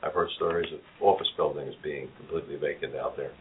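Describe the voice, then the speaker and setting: A man's voice: rumbling low, steady tone, quick pace, slight background noise. A man from New York City with a New York accent, hosting a business interview and asking probing follow-up questions. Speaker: show host. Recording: tinny phone-like audio.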